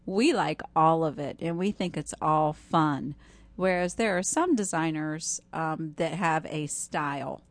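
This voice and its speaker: A woman speaks in a slightly low register. She is a guest designer explaining her studio's approach in an easy conversational style. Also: unhurried, dry recording.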